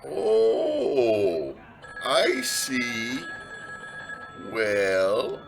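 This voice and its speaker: An actor playing a slow, doddering, polite old baggage clerk in a noir radio comedy, halting and creaky. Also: male voice, wildly swooping pitch, over music, heavily acted. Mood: dithering, polite. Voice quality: creaky, high-pitched.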